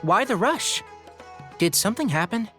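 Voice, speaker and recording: A thoughtful young scholar explains things in a reasoned, composed way, his pitch moving in a lively manner. Game music plays clearly behind him. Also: moderate pace. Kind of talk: game cutscene voice acting. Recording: game audio with background music.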